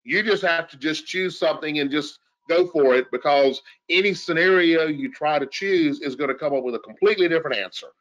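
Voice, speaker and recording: A man's voice: a little high, fairly quick, hardly any pauses, a bit bright, muffled recording. A man giving an informal technical explanation as an expert, in a conversational way with rhetorical questions. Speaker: audio engineer guest expert.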